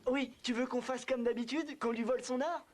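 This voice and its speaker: A performer playing an eager, excitable young outlaw lookout in an animated film. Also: high-pitched, fairly quick, lightly acted.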